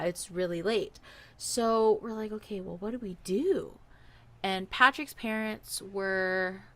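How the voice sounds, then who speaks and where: A woman telling a personal story in a casual, animated, chatty way, acting out bits of dialogue as she goes. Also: mid-range voice.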